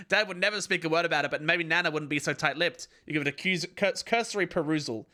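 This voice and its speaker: A man reading a webcomic aloud to his stream in a calm, relaxed way. His voice sits a little high and rings bright and forward. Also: fast talker.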